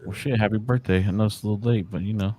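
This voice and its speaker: A man's voice: fairly low pitch, steady tone, very fast, dark tone, slightly rough. A man in his forties, a podcast guest talking casually in a laid-back, slangy, profane way with lots of fillers. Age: 40s. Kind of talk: casual chat.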